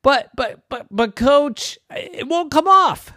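A storyteller putting on the voice of a worried young boy on a baseball team, stammering and raising the pitch. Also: huge pitch swings, measured pace, creaky, a bit bright, dry recording, clean studio sound, exaggerated voice. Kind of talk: improvised bedtime story.

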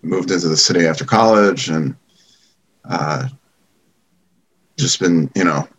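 A middle-aged man from Long Island with a low voice chats loosely over a video call. He hedges a lot, leaning on fillers like "you know" and "I mean" as he goes. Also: a little slow, breathy edge. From Long Island, New York. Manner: relaxed, hedging with many fillers. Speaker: guest, event company founder. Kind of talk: casual video call chat.

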